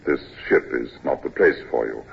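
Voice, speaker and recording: An actor playing a weary, ailing older naval captain, stern but kindly, in a measured, hesitant old-time radio drama style. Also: male voice, very deep voice, lively intonation, vocal fry, breathy edge, exaggerated voice. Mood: weary, resigned. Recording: dull old radio recording.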